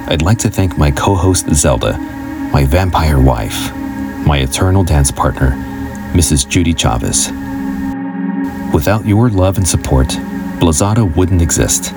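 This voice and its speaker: A man who hosts and produces a podcast, delivering a scripted introduction. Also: sits low.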